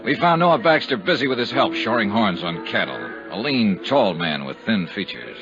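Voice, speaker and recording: Old radio investigator, crisp n direct, lively pitch, muffled sound. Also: male voice, moderate pace. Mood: narrating briskly. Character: probing, direct insurance investigator, calm and persistent. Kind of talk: 1950s radio drama acting and narration. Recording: dull 1950s radio with music.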